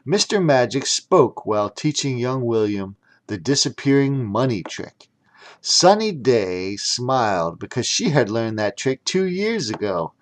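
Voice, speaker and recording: A man's voice: mid-range voice, big pitch swings, measured pace. A man narrating a children's audiobook in a theatrical, playful storytelling manner. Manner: theatrical, with playful sound words. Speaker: audiobook narrator reading his own story.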